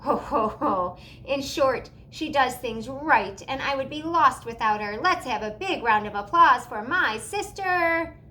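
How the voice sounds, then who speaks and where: A woman's voice: sits high, expressive, measured pace, hardly any pauses, sharp tone, a little hiss or hum, pitched above the performer's own voice, full character voice, rough recording. A woman voicing a jolly old Santa with a hearty, proclaiming manner, for a storybook. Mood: proud announcing.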